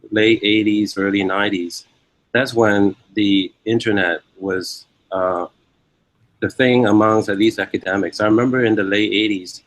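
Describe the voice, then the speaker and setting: A man's voice: lowish voice, no room sound, poor audio. A man in his fifties with a Hong Kong accent, giving reflective, explanatory interview answers and thinking aloud as he goes. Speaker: academic interviewee.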